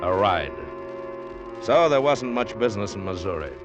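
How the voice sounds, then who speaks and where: Wry easygoing american pilot, deep-ish, old radio play, muffled recording. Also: male voice, very animated, moderate pace. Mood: wry storytelling. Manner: casual, colloquial, folksy slang. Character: easygoing, wry male barnstorming pilot telling his story. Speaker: actor and narrator. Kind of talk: 1940s radio drama acting with first-person narration. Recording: dull vintage radio recording with loud music.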